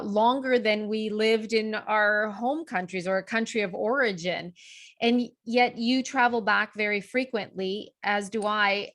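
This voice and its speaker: A woman's voice: on the high side, a bit bright. A woman hosting a casual interview, asking long, reflective questions in a friendly way. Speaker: interview host.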